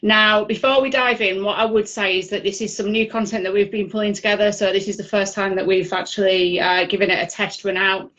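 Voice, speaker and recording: A woman's voice: medium pitch, fairly even intonation, fairly quick, hardly any pauses, a bit bright. A woman with a Yorkshire accent presenting at an online user group, chatty and full of fillers. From Yorkshire, England. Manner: chatty, filler-heavy delivery. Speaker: conference speaker. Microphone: video call. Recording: dull lo-fi video call audio.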